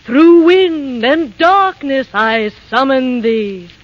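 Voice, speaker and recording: Wicked fairy-tale queen, high voice, extremely slow imperious incantation, vain, 1930s radio play, muffled. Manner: imperious, incantatory, drawn-out delivery. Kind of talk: 1930s radio drama acting. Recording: muffled, noisy vintage 1930s radio broadcast.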